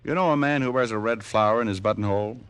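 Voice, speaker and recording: An actor playing a flippant, unflappable American private detective in an old radio drama, with dry wit in dialogue and narration. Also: male voice, fairly low pitch, quick pace, fairly bright tone, smooth, no echo, natural voice. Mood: probing.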